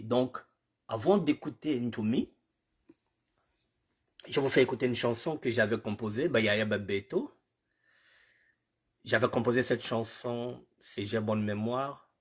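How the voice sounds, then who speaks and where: A man's voice: mid-range voice, slightly rough. A man with a cold hosting a live commentary show, greeting viewers formally and repeating himself, with Lingala phrases mixed in. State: has a cold. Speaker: online show host.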